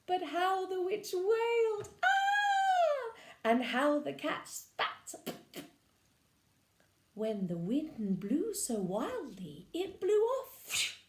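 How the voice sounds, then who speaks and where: Brief: storyteller woman, high and lively, unhurried, echoey room. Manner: animated, lively expression. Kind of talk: reading a children's picture book aloud.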